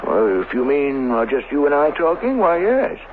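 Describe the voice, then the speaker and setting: An actor in an old radio drama playing a flustered, placating district chief who blusters and hesitates, on a hissy old broadcast. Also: male voice, on the high side, sing-song, moderate pace, narrow, tinny audio. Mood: flustered, guarded. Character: flustered male colony district chief, placating and evasive official.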